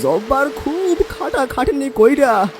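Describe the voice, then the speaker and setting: Actor as a very high-pitched grieving village man. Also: very animated, background noise, exaggerated voice. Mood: sorrowful recollection. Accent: rural Bangladeshi. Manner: drawn-out, tearful, lamenting delivery. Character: grieving, emotional village man mourning his drowned friend. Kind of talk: audio horror story acting.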